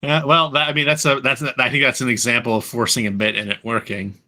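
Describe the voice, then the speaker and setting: A man on a casual group podcast with a bright, forceful edge to his mid-pitched voice. He thinks out loud, starting and restarting his sentences as he goes. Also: level tone, fast. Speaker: podcast panelist.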